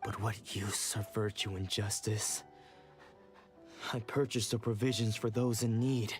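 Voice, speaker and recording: A man's voice: lowish voice, steady tone, unhurried, close to an everyday voice. An actor voicing a despondent, self-doubting swordsman in a video game, with brooding, regretful, dramatic delivery. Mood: bitter regret. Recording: game music behind the voice.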